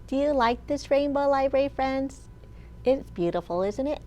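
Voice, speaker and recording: A woman children's librarian reading a picture book aloud to young children, sing-song, with questions and pauses for them to answer. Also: high-pitched, lively intonation, mellow, slight background noise. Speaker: children's librarian and storytime host.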